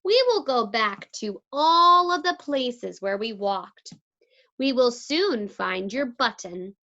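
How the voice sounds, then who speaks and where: A helpful male frog from a children's storybook, read by a woman, eager and encouraging. The voice is high and bright, with lively intonation. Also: takes it slowly, lo-fi sound, full character voice. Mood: reassuring. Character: helpful, upbeat male frog, a reassuring friend.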